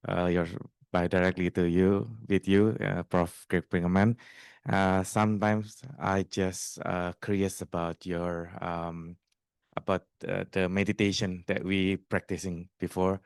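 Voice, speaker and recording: A man's voice: low-pitched, gravelly, smooth, no room sound. A man from Indonesia with an Indonesian accent, asking a polite question as an audience member, hesitant and searching for words. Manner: hesitant, searching for words in non-native English.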